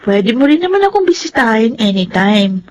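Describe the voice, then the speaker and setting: A high-voiced young Filipina in a radio drama. She is an earnest, devoted daughter who speaks respectfully to her elders. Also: very animated, moderate pace, darkish tone. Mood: gentle pleading. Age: young adult.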